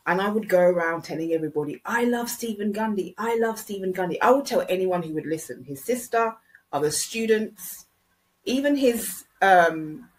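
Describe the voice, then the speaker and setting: Deep-ish lady podcasting, blunt and honest, says things twice for effect. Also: rough recording. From Jamaica. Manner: blunt, candid, repeats phrases for emphasis. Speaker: podcast host. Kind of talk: reflective commentary and personal storytelling.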